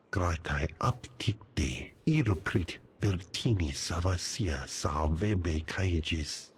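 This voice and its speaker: A man's voice: low-pitched, a little hiss or hum. An actor voicing a solemn male alien who speaks an invented language in a video game.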